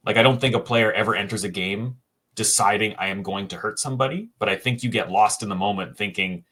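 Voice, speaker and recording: Low-voiced man musing over hockey. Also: a bit bright. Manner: thinks aloud with frequent "um" pauses and self-restarts. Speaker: co-host, hockey writer. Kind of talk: casual sports podcast chat.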